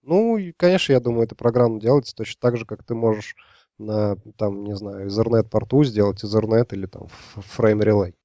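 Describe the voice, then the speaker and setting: A man in a casual technical podcast chat, speaking informally and haltingly. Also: lowish voice, mellow, dry recording, muffled recording. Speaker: podcast discussion participant.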